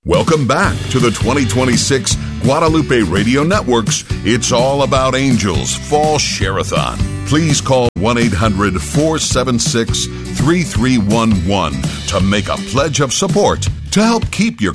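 A man giving a scripted radio promo in an animated, lively voice. Clear guitar music plays behind him the whole time. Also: medium pitch. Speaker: station announcer.

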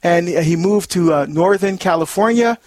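Brief: male deacon host, from Barbados, high voice, lively and exclamatory, dry studio sound. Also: moderate pace, no room sound. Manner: upbeat, animated, fond of exclamations. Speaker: radio show host, deacon.

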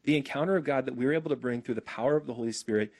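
A man excitedly sharing a faith testimony as a guest, with frequent restarts. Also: medium pitch, very fast.

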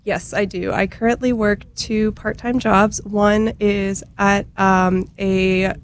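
A woman's voice: medium pitch, little pitch movement, takes it slowly. A young adult woman, chatting casually as an interview guest in a hesitant, enthusiastic way full of fillers. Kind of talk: casual chat.

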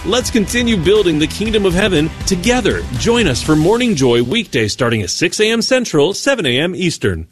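Lively, high-voiced man reading a promo. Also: fairly quick, soft background music. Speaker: morning show host. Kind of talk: scripted promo.

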